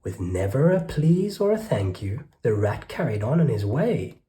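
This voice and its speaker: A storyteller reading a rhyming children's picture book aloud with rhythmic, expressive delivery. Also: mid-range voice, moderate pace. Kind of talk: children's read-aloud narration.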